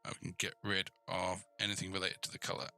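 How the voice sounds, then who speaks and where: A man's voice: low voice, level tone, fast talker, gravelly, bright, forceful tone. A man giving an informal screencast coding tutorial, thinking aloud and checking in with the viewer as he goes. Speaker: programming tutor.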